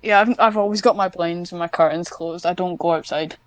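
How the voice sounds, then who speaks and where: A woman's voice: fairly low pitch, steady tone, a little fast, no room sound. A woman chatting casually as a podcast guest, hesitant and self-deprecating, with a giggly manner. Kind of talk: casual interview chat.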